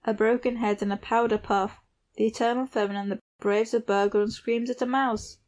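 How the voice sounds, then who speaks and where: A woman's voice: a little high, steady tone, fairly quick, darkish tone. A woman reading literary fiction aloud as a scripted audiobook narrator.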